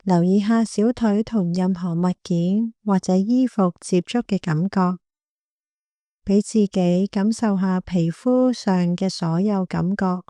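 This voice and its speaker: Woman, Hong Kong Cantonese accent, meditation guide, soft mellow tone, very slow pace, close dry sound. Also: mid-range voice, no room sound. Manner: gentle, calm, unhurried instruction. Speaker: meditation guide, clinical psychologist. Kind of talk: guided body scan meditation.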